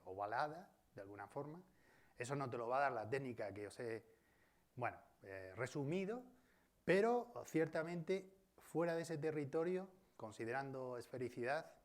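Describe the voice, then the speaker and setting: A man with a Spain Spanish accent giving an academic colloquium talk in a university auditorium, discursive and often adding tag questions. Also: medium pitch. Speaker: guest speaker.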